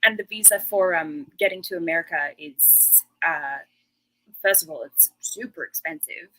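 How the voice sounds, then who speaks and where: A woman's voice: lowish voice, moderate pace, sharp tone, poor audio. An Australian woman in her thirties, a guest chatting casually and laughing often. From Western Australia. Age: 30s. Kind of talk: casual interview chat.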